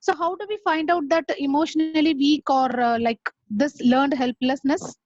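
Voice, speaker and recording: A woman's voice: high voice, steady tone, moderate pace, highs cut off. A woman calling in to a webinar to ask a question, hesitant, with many fillers. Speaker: webinar participant asking a question. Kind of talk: question on a call. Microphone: video call.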